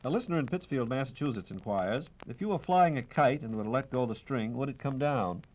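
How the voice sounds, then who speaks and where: A man's voice: mid-range voice, animated, brisk, darkish tone, lo-fi sound. An American man hosting a 1940s radio program in a formal, polished and courteous announcing style.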